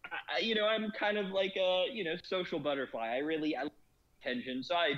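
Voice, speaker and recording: A man's voice: fairly high pitch, a little fast, fairly bright tone, highs cut off. A man chatting casually as a guest about a strategy game, rambling with many fillers and frequent laughs. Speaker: guest in gaming discussion. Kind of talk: casual chat.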